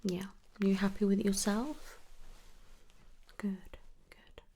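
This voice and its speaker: A British woman speaking softly and mostly in whispers as a gentle, reassuring doctor in an ASMR medical role-play. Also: medium pitch, flat delivery, slow pace. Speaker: ASMR performer playing a doctor.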